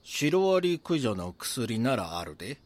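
An actor with a Kansai accent playing a brazen, scheming small-time swindler in a voice drama, talking in a rough, conspiratorial, boastful way. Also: male voice, mid-range voice, big pitch swings, a little slow. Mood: defensive. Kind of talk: voice drama acting, true-crime reenactment.

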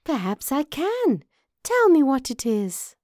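A woman's voice: sits high, wildly swooping pitch, slow, warm tone, no echo, clean studio sound, pitched above the performer's own voice, exaggerated voice. A woman voicing a small, proud female storybook mouse with a prim, spirited manner. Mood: eager. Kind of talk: storybook character voice.

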